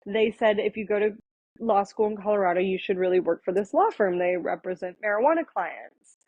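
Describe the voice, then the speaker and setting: A woman's voice: medium pitch, fairly quick, darkish tone, no room sound. Thoughtful woman guest, dry muffled sound. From born in Thailand, raised in Florida and India. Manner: reflective, with frequent fillers and restarts. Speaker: guest, attorney. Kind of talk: conversational podcast interview.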